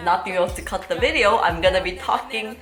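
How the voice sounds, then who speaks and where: A woman's voice: lowish voice, fast, a bit bright. A woman, chatting casually and rambling in an unedited Q&A vlog while cutting fruit. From South Korea and Los Angeles. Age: 30s. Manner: chatty, rambling, often switching into Korean words. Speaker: YouTuber host. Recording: roomy echo with faint background music.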